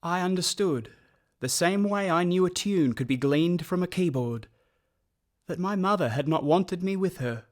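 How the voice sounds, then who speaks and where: A man's voice: high voice, expressive, normal speed. A man with an Australian accent acting as a wry, solemn narrator looking back on his orphaned boyhood in a radio play. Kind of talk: first-person scripted radio play narration.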